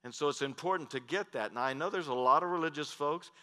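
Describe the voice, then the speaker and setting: A middle-aged man preaching from a big church stage in a bright, forceful voice. He swings from loud, emphatic declarations down to hushed asides. His voice carries a long reverb from the hall. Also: fairly high pitch, fast. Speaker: pastor. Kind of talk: sermon.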